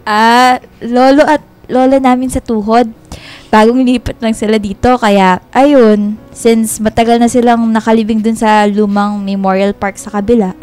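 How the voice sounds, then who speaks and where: A woman playing a friendly, easily delighted young Filipino woman in a radio love drama, expressive and chatty. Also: on the high side, moderate pace, smooth, close to an everyday voice.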